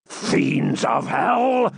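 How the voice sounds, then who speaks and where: A savage medieval baron bellows his demands, drawn out and forceful, as a male audiobook narrator puts him on. The voice climbs and plunges with fury. It sits close and dry, with no room around it. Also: medium pitch, very animated, dry recording, voice pushed up. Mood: enraged. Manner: bellowing, threatening, slow and heavy. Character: furious cruel Norman baron, man, middle-aged. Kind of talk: audiobook character reading.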